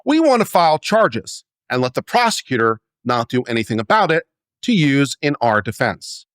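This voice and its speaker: Expressive man giving a dramatic reading. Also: mid-range voice, moderate pace, no echo, studio quality. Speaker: reader of a letter.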